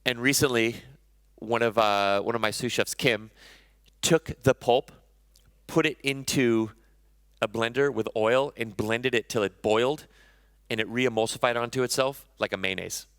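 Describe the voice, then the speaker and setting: Bright, crisp-voiced chef on stage. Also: male voice, mid-range voice, steady tone, moderate pace, no echo. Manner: conversational, self-correcting, enthusiastic about food. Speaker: chef giving a conference talk. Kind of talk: conference talk. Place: conference stage.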